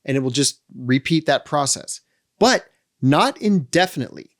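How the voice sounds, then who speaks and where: A man's voice: fairly high pitch, lively intonation, moderate pace. A man hosting a product walkthrough, explaining features step by step with dry humorous asides. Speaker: host of a how-to channel on second-hand audio gear.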